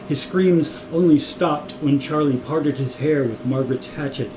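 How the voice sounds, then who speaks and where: A man's voice: a little high, moderate pace. A man reading a comic story aloud in an amused way. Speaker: reader of a comic novel. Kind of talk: story reading with acted dialogue. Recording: echoey room with clear noise.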